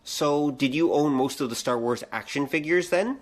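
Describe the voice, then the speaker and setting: A man's voice: a little high, normal speed. A man co-hosting a podcast in casual, conversational banter. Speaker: podcast co-host.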